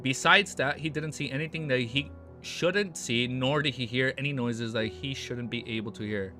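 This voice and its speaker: A hoarse man with a cold, reading a novel aloud on a livestream and breaking off into excited comments. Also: medium pitch, moderate pace. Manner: reads steadily, then breaks off into excited asides. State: hoarse, losing his voice from a cold. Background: background music.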